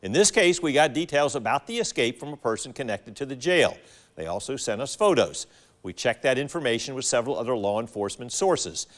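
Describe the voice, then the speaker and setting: A man's voice: mid-range voice, big pitch swings, a little fast. A man with an American accent anchoring a local TV newscast, reading a script formally. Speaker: TV news anchor. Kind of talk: scripted local news broadcast delivery.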